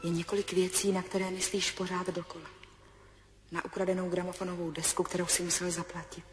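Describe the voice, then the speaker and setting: A woman's voice: on the low side, level tone, natural voice. An actress playing a wistful young woman in love, speaking intimately and insistently to her man in a 1970s radio drama. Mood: tender, insistent. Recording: music under the voice.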